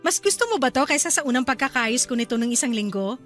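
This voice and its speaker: A woman playing a cheerful young wife and new mother in a radio drama, talking in a bright, chatty and eager way. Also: high voice, expressive, quick pace, soft background music.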